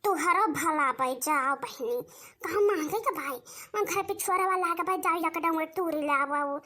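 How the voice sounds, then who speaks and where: A woman voicing an excitable, pleading village woman in a comic cartoon, with a squeaky childlike voice and an Awadhi accent. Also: moderate pace. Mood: exasperated. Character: excitable village woman begging her sister-in-law for remedies. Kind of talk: comic cartoon dialogue in rural dialect.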